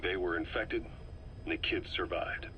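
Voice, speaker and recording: A deep-voiced stern military interrogator. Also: male voice, moderate pace, bright, forceful tone, a little hiss or hum, low-quality recording. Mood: probing, stern. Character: stern, probing military intelligence officer. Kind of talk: dramatic video game dialogue.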